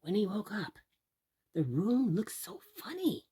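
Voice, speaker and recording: A woman reading aloud as a children's storyteller, theatrical and deliberate, speaking directly to young listeners. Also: medium pitch, sing-song, mellow, husky. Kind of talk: expressive read-aloud storytelling.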